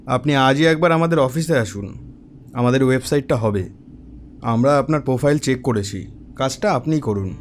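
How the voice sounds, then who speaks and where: A man acts a male business client in an animated story. His voice is medium in pitch, with a formal, direct, polite manner. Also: normal speed, close to an everyday voice. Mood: businesslike. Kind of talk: cartoon voice acting.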